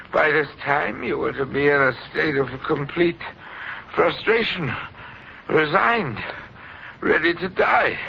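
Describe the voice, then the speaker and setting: Scheming radio-play killer, higher male pitch, theatrical, muffled hissy 1940s recording. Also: vocal fry, full character voice. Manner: deliberate, halting, theatrical. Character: cold scheming male murderer, baffled and obsessive. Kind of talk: 1940s radio thriller acting.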